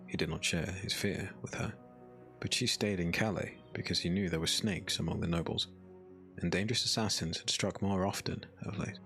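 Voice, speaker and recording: A man narrating a scripted dark fantasy audiobook in a measured, literary storytelling manner. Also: sits low, vocal fry.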